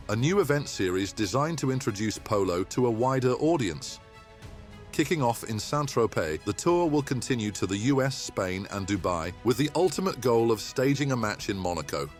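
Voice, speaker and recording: A man presenting a weekly local news bulletin in a measured, even newsreader delivery. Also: mid-range voice. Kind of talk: scripted news narration.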